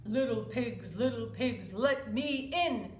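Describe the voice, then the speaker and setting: A woman voicing a menacing big bad male wolf in a bedtime story, with loud, slow, drawn-out threats. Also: a little high, roomy sound, noisy background. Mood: booming, demanding.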